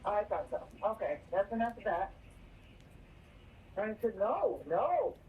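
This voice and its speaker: A woman's voice: mid-range voice, huge pitch swings, unhurried, far from the mic, a little hiss or hum. A woman talking casually on a phone call that is played back in a courtroom.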